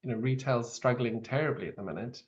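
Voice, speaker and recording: A man's voice: mid-range voice, quick pace. Chatty host man on muffled audio. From Zimbabwe. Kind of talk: video call interview.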